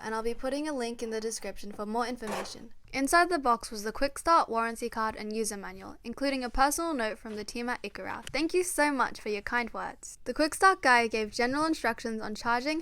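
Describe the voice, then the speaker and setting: A woman's voice: fairly high pitch, fairly quick, few pauses, fairly bright tone, slight background noise. A woman reading a scripted unboxing narration as the reviewer of a product.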